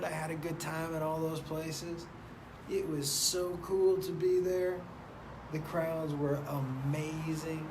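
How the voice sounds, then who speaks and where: Loose, profane man hosting a live stream. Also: on the high side. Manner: loose, profane, rambling and playful. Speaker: host of a live stream show. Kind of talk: casual live-stream chat, reading viewer comments. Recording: noticeable background noise.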